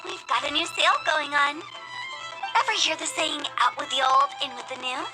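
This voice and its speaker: A woman's voice: sits high, moderate pace, over music, lightly acted, poor audio. A woman playing a cheeky, upbeat young female funeral parlor director in a video game, with theatrical salesperson patter. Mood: cheerful sales pitch.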